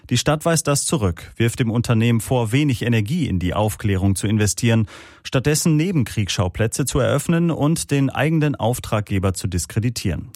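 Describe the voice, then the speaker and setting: A man's voice: lowish voice, a little mellow, no room sound, studio quality. A man reading a radio news report in a formal tone.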